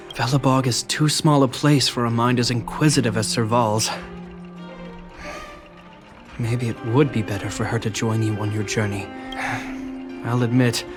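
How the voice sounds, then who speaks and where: A composed, earnest man in a video game played by an actor, a caring younger brother. His speech is formal and thoughtful at an average pitch, with soft music beneath. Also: natural voice. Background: faint music.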